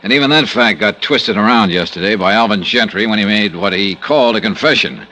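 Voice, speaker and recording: An American actor playing a dogged, wry insurance investigator with a conscience in a 1950s radio detective drama. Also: male voice, fairly low pitch, a little fast, bright, forceful tone. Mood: earnest, insistent. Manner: wry, insistent, hard-boiled delivery. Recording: noisy old radio drama recording.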